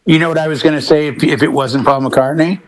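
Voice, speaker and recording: A man's voice: on the high side, steady tone, fast talker, a loud crowd. A man chatting casually as a panelist on a music talk show. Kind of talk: casual chat.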